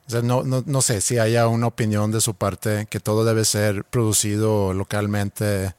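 A man's voice: lowish voice, little pitch movement, a little slow, darkish tone, clear, resonant tone, no echo. A man co-hosting a casual podcast, mostly answering briefly with dry remarks. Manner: short prompting replies, dry remarks. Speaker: podcast co-host. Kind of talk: casual chat.

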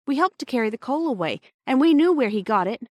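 A woman voicing an earnest, gentle young girl in a storybook, anxious and pleading. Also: high voice, animated, fast talker, no echo, studio quality, pitched above the performer's own voice.

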